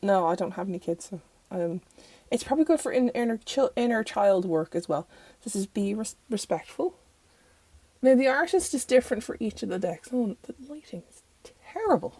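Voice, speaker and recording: A young Irish woman with a croaky voice from recent illness, chatting rambly through a casual review in her bedroom. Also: mid-range voice, darkish tone, dry recording. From Ireland. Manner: chatty and rambling, self-interrupting. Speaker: tarot channel host. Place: bedroom at home.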